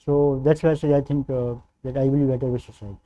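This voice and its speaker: Young man, North Indian accent, native Hindi speaker, interview candidate, hesitant, deferential, very dark tone, dull lo-fi recording. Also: mid-range voice, fairly even intonation. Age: young adult. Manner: hesitant, halting, deferential, often says sir. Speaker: civil service candidate in mock interview. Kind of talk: formal interview answers.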